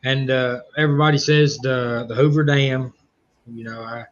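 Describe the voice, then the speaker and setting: A man with a rural Southern accent hosting a casual livestream chat, speaking hesitantly with frequent pauses. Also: medium pitch, fairly even intonation, lo-fi sound. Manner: hesitant, lots of uh fillers, reads comments aloud.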